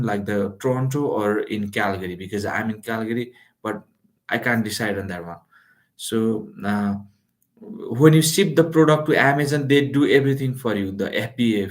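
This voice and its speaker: A man with a delivery giving a live how-to lesson, talking loosely with many fillers and restarts. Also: medium pitch. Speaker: online business instructor. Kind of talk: live-streamed how-to talk. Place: lives in Calgary.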